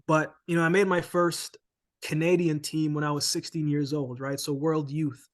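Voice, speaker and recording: A man from Jamaica, a former sprinter, telling his story as a guest in a casual interview, relaxed and tagging lines with "man" and "right?". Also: a little high, moderate pace.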